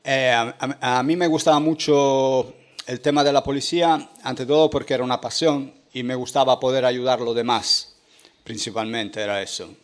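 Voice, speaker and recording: A middle-aged man from Italy speaks Spanish with a clear Italian accent, his first language showing through. His voice is ordinary in pitch, and he explains things in an earnest, patient way. He is a guest in a radio studio. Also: fairly even intonation, moderate pace, slight background noise. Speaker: former police officer guest. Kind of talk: casual radio interview answers.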